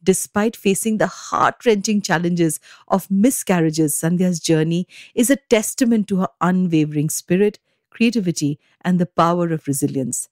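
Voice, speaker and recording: A woman's voice: lowish voice, normal speed, darkish tone, no echo, clean studio sound. A woman hosting a podcast, presenting a guest in a scripted, formal introductory style. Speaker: podcast host. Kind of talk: scripted introduction and interview question.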